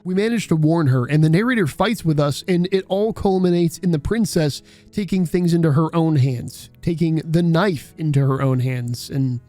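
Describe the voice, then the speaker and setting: Male video essayist, mellow, slightly high-pitched, wry, casual scripted narration. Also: normal speed, soft background music.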